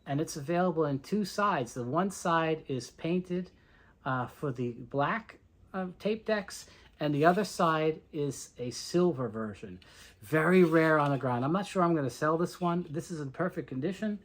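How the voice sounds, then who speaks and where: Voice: medium pitch, lively intonation, unhurried. A collector giving an informal, explanatory show-and-tell commentary, talking conversationally through items one by one. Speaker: collector presenting a tape reel collection.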